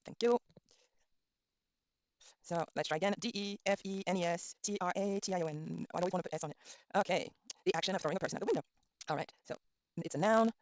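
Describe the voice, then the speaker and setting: A woman's voice: on the low side, fast talker, long pauses, dry recording. Chatty woman teaching a live online lesson. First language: Norwegian. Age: middle-aged. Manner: chatty, spells words letter by letter, frequent ums. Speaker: language teacher streaming a class. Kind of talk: casual online lesson, thinking aloud while browsing.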